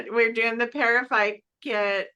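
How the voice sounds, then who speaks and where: A woman's voice: fairly high pitch, slow pace, sharp tone, smooth. A woman co-hosting a casual podcast, telling stories in a chatty way with frequent giggles. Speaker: podcast co-host.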